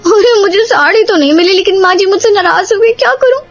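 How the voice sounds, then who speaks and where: A woman's voice: very high-pitched, a little fast. A woman voicing a distressed, trapped young daughter-in-law in a cartoon story, whining and exclaiming. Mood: dismayed. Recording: slightly dull audio, music behind.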